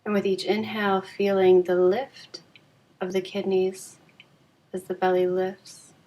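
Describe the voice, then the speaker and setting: A woman leading a guided yoga nidra relaxation in a calm, soothing, unhurried way with long pauses. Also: mid-range voice.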